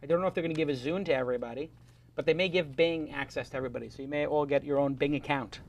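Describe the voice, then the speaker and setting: High-voiced fast-joking male show host. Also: vocal fry, highs cut off, slight background noise. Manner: brisk, joking, talks fast in bursts. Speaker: podcast host. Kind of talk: live startup show hosting.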